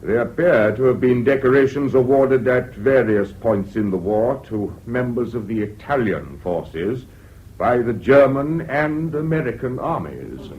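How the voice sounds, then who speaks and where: An actor playing a respectful prosecuting counsel in a radio drama, formal, hesitant and matter-of-fact. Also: male voice, medium pitch, expressive, unhurried, lightly acted. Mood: dry, respectful. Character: dry, respectful British prosecuting counsel reporting facts. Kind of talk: BBC radio drama acting. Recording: dull lo-fi old radio recording.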